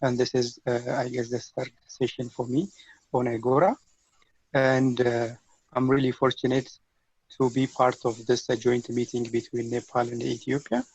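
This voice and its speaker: A husky man with very even intonation gives a formal, halting introduction. He is courteous, and the online call audio is dull and roomy. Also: mid-range voice. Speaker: meeting participant, behavioral expert.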